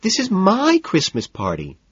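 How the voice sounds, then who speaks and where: Man putting on a whiny, high-pitched society woman. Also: wildly swooping pitch, a little slow, fairly bright tone, husky, dry recording, lo-fi sound, exaggerated voice. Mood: plaintive. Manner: whining, sighing, plaintive. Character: whiny, fretful young society woman, put-upon girlfriend.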